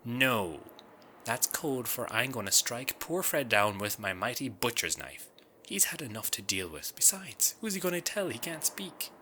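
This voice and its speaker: An actor playing an irreverent, sarcastic rogue in a comic radio drama, with flippant, wry and crude delivery. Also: male voice, medium pitch, sing-song, unhurried, pushed and bright, a little hiss or hum. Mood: sardonic.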